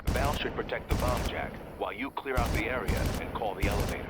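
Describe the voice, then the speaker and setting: A man's voice: lowish voice, quick pace, heavy background noise, close to an everyday voice. An actor playing a grave, matter-of-fact man who guides agents through a mission in a video game. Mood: instructing. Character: serious male mission informant giving guidance by radio. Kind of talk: video game cutscene acting.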